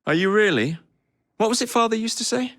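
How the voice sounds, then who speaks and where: An actor with an English accent playing a cocky, roguish young Victorian gang leader in a video game, with a cheeky, wry, theatrical manner. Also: male voice, high voice, expressive, fairly quick, a bit bright, dry recording, clean studio sound. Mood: playful, teasing.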